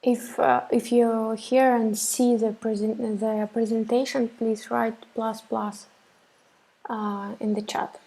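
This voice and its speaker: Woman in her thirties, webinar instructor on a webcam, slow and gravelly, halting delivery full of fillers. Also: on the high side, level tone, warm tone, low-quality recording. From Russia. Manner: hesitant, many fillers and restarts. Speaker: web development instructor. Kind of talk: live webinar presentation. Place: small room indoors. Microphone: webcam on a laptop.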